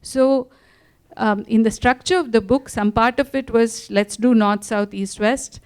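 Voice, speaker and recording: A woman's voice: on the high side, a little slow, clean tone. Woman author talking freely, restarts a lot. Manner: animated, many restarts and fillers. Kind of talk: conversational interview.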